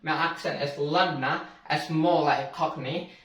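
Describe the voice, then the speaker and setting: A man's voice: fairly high pitch, steady tone, slow pace, a bit bright, distant mic. Chatty young man in echoey room. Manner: chatty, slangy, self-correcting. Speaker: language tutor. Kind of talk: casual explainer video. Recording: echoey room, away from mic.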